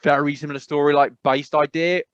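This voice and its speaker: A man's voice: on the high side, fairly quick, clear, resonant tone, no echo. Chatty English man on a dull mic. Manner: rambling, animated, emphatic repetition. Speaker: podcast co-host. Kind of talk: casual chat.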